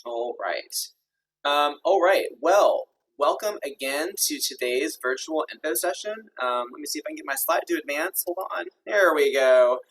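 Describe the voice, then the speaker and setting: A man's voice: on the high side, sing-song, moderate pace, gravelly, a bit bright, far from the mic, a little hiss or hum, low-quality recording. A man in his thirties from Kentucky, an executive director hosting a virtual info session in an upbeat, friendly way with many ums and uhs. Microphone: video call.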